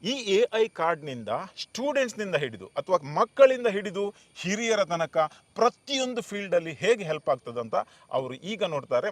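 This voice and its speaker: A man's voice: high voice, dry recording. A man hosting an interview conversationally, often mixing in English words. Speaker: show host, interviewer.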